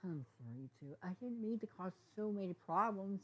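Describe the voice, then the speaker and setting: A woman's voice: medium pitch, expressive, pitched above the performer's own voice, lightly acted. Woman voicing an anxious, pleading young unicorn. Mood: worried. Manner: pleading, halting. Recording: dull, lo-fi sound.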